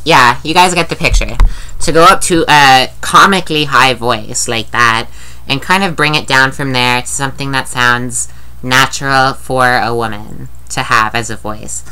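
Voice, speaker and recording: A woman's voice: very deep voice, moderate pace, sharp tone, smooth, low-quality recording. A woman with a nasal voice, talking in a rambling, candid video diary and swearing casually. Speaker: vlogger.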